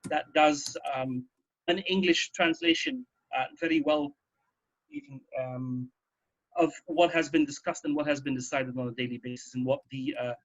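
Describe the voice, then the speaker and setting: A man's voice: a little high, sing-song, moderate pace. A man hosting a casual, informative radio talk, explaining things and repeating terms. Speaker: radio host. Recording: dull, muffled band-limited sound.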